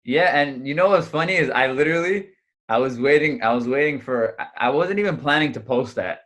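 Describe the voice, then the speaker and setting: Animated young male comedian. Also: mid-range voice, fairly quick. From United States. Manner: animated storyteller, many fillers and restarts. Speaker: stand-up comedian guest. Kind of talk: casual interview chat. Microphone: video call.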